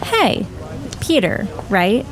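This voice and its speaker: A woman's voice: medium pitch, very animated, drawn-out words, poor audio. A young woman playing a falsely friendly college student in an audio drama. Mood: casual, teasing. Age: young adult. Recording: busy crowd behind.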